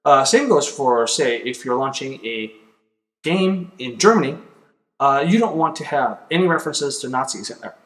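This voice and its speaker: A man's voice: medium pitch, rough recording. A man in his thirties from Denmark with a Danish accent, explaining a topic casually to camera in a home office. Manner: relaxed, conversational, with fillers and pauses. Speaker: video host. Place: small home office with a computer. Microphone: webcam or desk camera, close framing.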